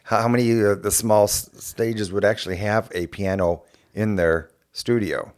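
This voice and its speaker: A man co-hosting a casual podcast chat, playful and quick with wisecracks. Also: lowish voice, steady tone, a little mellow. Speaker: podcast co-host.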